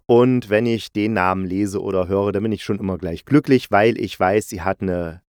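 A man's voice: fairly low pitch, moderate pace, a little mellow, smooth, no echo, clean studio sound. A man hosting a podcast, giving a casual, chatty review in a playful, jokey way, often correcting himself mid-sentence. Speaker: podcast host and audio drama reviewer.

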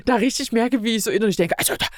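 A woman's voice: on the high side, rapid-fire, no room sound, clean studio sound. A woman in a casual review conversation, thinking aloud and often searching for words. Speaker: podcast guest.

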